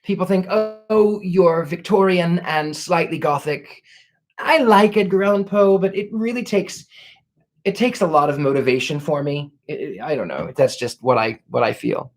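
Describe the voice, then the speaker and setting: A man hosting a casual livestream, answering viewer questions in a courtly, gracious way, thanking people warmly and pausing to restart his sentences as he searches for words. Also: fairly high pitch. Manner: reflective, many restarts while searching for words. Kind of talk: casual live Q&A chat.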